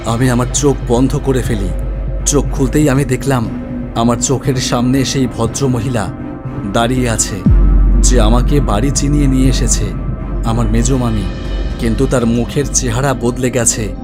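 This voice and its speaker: A man's voice: medium pitch, fairly even intonation, normal speed. Male horror storyteller over music. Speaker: storyteller narrating in the first person. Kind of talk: dramatic scripted horror story narration. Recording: music bed under the voice. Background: background music.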